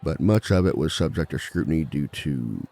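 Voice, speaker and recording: A man playing a reformed villain who lectures at a seminar on romance in a measured, articulate, formal and dryly self-assured way. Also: rumbling low, expressive, creaky, warm tone, heavily acted. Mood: composed, formal. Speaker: seminar speaker and relationship expert. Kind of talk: scripted comedic lecture roleplay.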